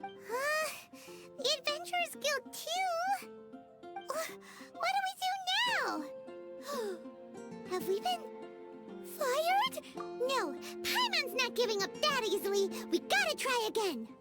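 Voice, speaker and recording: A woman voicing a tiny, childlike, excitable fairy companion in an anime game, squeaky and cutesy. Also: slow, long pauses, exaggerated voice. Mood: startled, indignant. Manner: exclamatory, cutesy, refers to self by name. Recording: loud music behind the voice.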